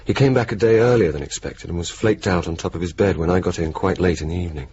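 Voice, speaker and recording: A man's voice: low voice, fast, natural voice. A man playing a wry, bantering young RAF bomber officer in a radio drama, with dry, ironic, clipped understatement. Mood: measured narration. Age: young adult. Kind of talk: radio drama dialogue and narration. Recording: dull, hissy 1980s radio broadcast.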